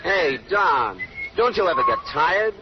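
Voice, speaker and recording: A man's voice: sits high, very animated, unhurried. A man playing a surly, cynical gangster sidekick in 1930s radio drama, slangy, grumbling and nervy. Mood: surly, fed up. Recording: muffled, hissy old radio broadcast.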